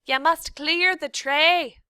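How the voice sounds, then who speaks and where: A woman voicing a loud, bossy, brutal cook who shouts harshly and talks coarsely. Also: high-pitched, very animated, unhurried, no room sound, studio quality, voice pushed up, exaggerated voice. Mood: scolding.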